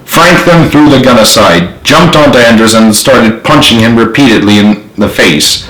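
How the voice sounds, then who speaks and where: A man's voice: mid-range voice, normal speed, a bit bright. A man reading his own novel aloud as author, in dramatic storybook narration. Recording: roomy, echoey home recording.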